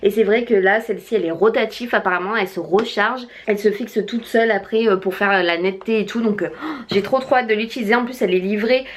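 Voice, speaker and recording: Young woman, late twenties, casual chatty vlogger, excited, lots of fillers, recorded at home. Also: medium pitch, fast talker, slight background noise. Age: 20s. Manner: chatty and enthusiastic, many fillers. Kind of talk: casual haul vlog. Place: at home by a window.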